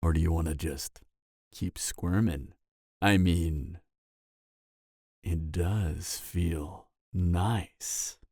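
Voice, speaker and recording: A man's voice: low-pitched, extremely slow, pauses often, mellow, no echo, studio quality, exaggerated voice. A man playing a cocky, flirtatious vampire mentor in an audio roleplay, lecturing in a teasing, sardonic way. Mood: flirty, goading. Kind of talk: dramatic audio roleplay acting.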